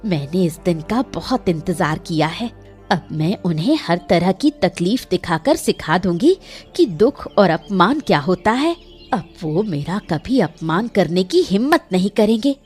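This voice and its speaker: Woman as scheming old cartoon villainess, bitter, dramatic, lowish pitch, very animated, faint music behind. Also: measured pace, breathy edge. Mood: vengeful. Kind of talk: animated moral story dialogue.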